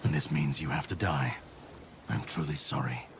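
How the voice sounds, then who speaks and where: An actor playing a grim, world-weary warrior on a mission in a video game, speaking in a grave, measured way. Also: male voice, a bass voice. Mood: somber, menacing. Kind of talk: dramatic video game dialogue acting. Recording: clear background noise and ambience.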